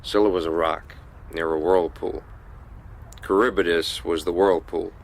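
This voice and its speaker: Very deep, gravelly secret agent. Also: male voice, steady tone, a little slow, poor audio. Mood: cool, unimpressed. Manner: terse, cool, controlled. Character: weary, menacing veteran male secret agent. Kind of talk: radio drama acting.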